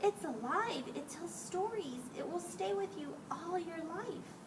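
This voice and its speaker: A woman's voice: very high voice, animated, measured pace, voice pushed up. A woman reading the part of a reassuring mother in a storybook, coaxing and emphatic. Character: reassuring mother of a young girl. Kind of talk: storybook character voice. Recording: distant mic, clearly noisy background.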